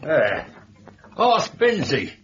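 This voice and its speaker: An actor playing a sly lodger who needles people with mocking, colloquial talk, in old radio drama style. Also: male voice, a little high, wildly swooping pitch, drawn-out words, a bit bright, exaggerated voice. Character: sly, teasing male lodger, needling troublemaker. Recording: dull old radio recording, faint noise.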